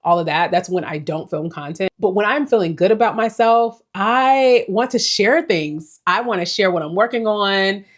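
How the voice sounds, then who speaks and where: A woman's voice: medium pitch, moderate pace, few pauses. A woman in her forties giving a casual, rambling life update to her viewers, reflective and talking to them directly. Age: 40s. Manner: reflective, rambling, with small laughs. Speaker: vlogger.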